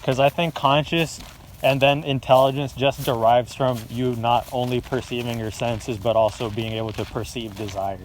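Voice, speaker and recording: A young man talks in a loose, rambling way, middle-pitched and easygoing, with casual swearing. He is recorded on a phone outdoors while walking, with faint noise of the open air behind him. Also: steady tone, moderate pace, rough recording. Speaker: friend recording the talk. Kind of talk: casual philosophical chat. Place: outdoors on a walk.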